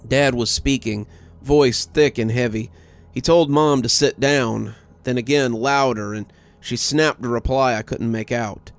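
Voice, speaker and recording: A man's voice: mid-range voice, fairly even intonation, measured pace. A man reading a scripted first-person horror story as its narrator. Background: music.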